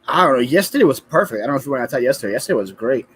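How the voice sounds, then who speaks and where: A man's voice: mid-range voice, lively intonation, rapid-fire, breathy edge. A man co-hosting a livestream, joking around, reading the chat aloud and stammering on restarts. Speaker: co-host of a livestream.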